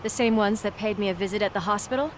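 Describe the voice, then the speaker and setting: An actress playing a streetwise woman ally, talking in quick, dry, sarcastic remarks, set against outdoor street noise. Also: mid-range voice, lightly acted. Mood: wry.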